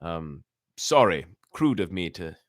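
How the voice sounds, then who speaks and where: A man's voice: sits low, very animated, slow pace, no echo, clean studio sound. A man hosting an online story time, reading tales and chatting to listeners in rambling asides. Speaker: host reading stories to a live online audience.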